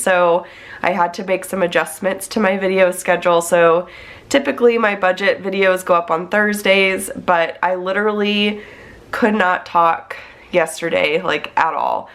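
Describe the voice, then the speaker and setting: A woman's voice: mid-range voice, a little slow, a bit bright. A woman in her thirties, congested from a cold, chatting casually as she plans her budget. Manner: chatty, talks through her steps. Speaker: budget planning vlogger. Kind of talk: casual chat while planning on a tablet.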